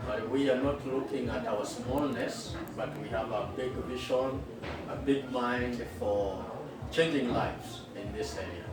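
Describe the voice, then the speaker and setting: A middle-aged man with a Kenyan accent tells an interviewer about founding a school, speaking earnestly and without hurry. He pauses on fillers and restarts his sentences as he goes. His voice sits at an ordinary pitch, heard from some distance in a strongly echoing room with clear background noise. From western Kenya. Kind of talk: interview answers, storytelling. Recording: echoey room, far from the mic, with noticeable background noise.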